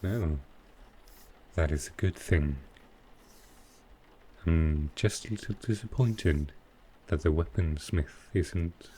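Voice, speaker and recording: A man playing a sly, dryly amused male supervillain in a soft roleplay ASMR style, with halting, understated delivery. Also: deep voice, slight background noise. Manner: unhurried, halting delivery with pauses, dry understated humour.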